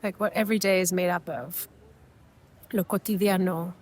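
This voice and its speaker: A woman's voice: on the low side, steady tone, moderate pace, darkish tone. Reflective Mexican-born woman chatting. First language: Spanish. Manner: meandering, reflective, with many fillers and restarts. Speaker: podcast co-host. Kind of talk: casual chat. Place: airport waiting area.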